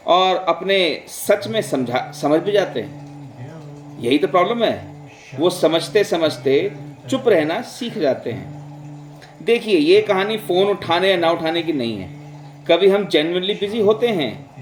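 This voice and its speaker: A man narrating in a conversational, reflective way, speaking directly to listeners and mixing in English words. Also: a little high. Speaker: vlog host and storyteller. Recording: roomy, echoing sound.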